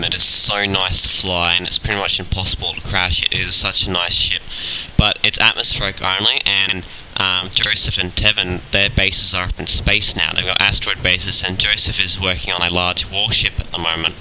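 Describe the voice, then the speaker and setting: A young man with a cold, talking through his game in a relaxed, halting way with many ums. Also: deep voice, slight background noise, rough recording. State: has a cold. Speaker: gaming video host. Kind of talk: casual gameplay commentary. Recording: game sounds clearly behind.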